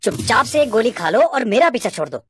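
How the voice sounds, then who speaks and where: A woman's voice: on the high side, big pitch swings, brisk, a little hiss or hum, voice pushed down. A woman voicing a cocky, callous teenage schoolboy in a cartoon story. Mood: cold.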